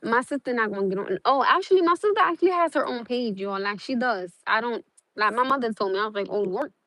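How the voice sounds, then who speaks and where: A woman's voice: fairly high pitch, expressive, quick pace, bright, forceful tone, no echo. Earnest rambling young woman, dry sound. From South Norwalk, Connecticut. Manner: rambling, repeats herself, many "like" fillers, earnest. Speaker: livestream host. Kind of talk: casual livestream chat with viewers.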